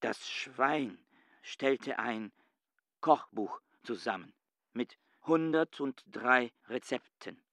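An actor playing an obsessive, brooding man, reciting feverish inner thoughts in a deliberate, incantatory literary radio drama style. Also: medium pitch, animated, no echo. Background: loud instrumental music.

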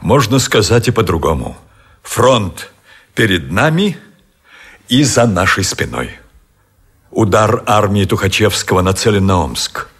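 An actor playing a blunt, disillusioned army general in a radio drama, reporting tersely and formally with an edge of scorn. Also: male voice, fairly low pitch, big pitch swings, measured pace, pauses often, fairly bright tone, breathy edge, full character voice. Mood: grim.

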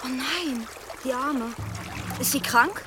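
A woman's voice: high-pitched, takes it slowly, over music. An actress playing a naive, sensitive young village girl in naturalistic audio drama dialogue. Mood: puzzled, concerned. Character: naive, easily upset village girl, promised in marriage.

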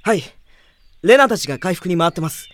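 An actor playing a polite, loyal young mage aide in a radio drama, hesitant and deferential. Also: male voice, sits high, animated, brisk, fairly bright tone, slight background noise. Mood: subdued. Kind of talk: Japanese radio drama acting.

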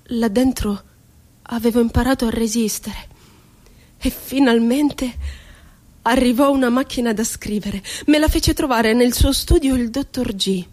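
A woman's voice: fairly high pitch, a little mellow. An actress playing a wry, childlike, wounded woman poet confined in an asylum, in a lyrical theatrical monologue. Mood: bitter, reflective. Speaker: stage actress. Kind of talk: theatrical monologue for radio drama.

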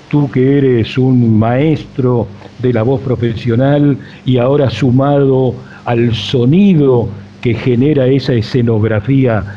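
A man talking reflectively as an interviewer. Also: medium pitch. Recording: dull lo-fi sound, faint noise.

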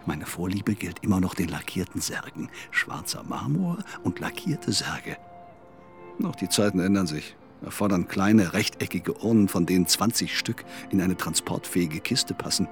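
An actor in a radio play as a morbid, clinging, sleepless man, brooding and insistent, often hushed. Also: low-pitched, lively intonation, moderate pace. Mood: insistent, eerie. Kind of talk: intimate radio play monologue. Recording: studio radio drama, faint music.

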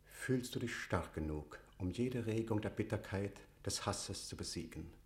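Actor as a grave, low-voiced priest confessor. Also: male voice, lively intonation, darkish tone, natural voice. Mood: solemn blessing. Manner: solemn, measured, liturgical declamation. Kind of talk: classical German verse radio drama acting.

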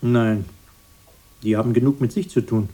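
An actor playing a protective, simple-minded and haunted grown-up brother in a radio drama, halting and repetitive, soothing one moment and evasive the next. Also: male voice, medium pitch, fairly even intonation, dark tone, natural voice. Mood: soothing, weary. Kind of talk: 1980s German radio drama acting.

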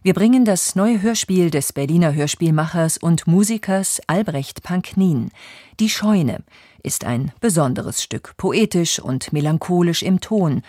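A woman presenting a radio drama with a scripted, measured, composed introduction. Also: on the low side, darkish tone, no room sound, clean studio sound.

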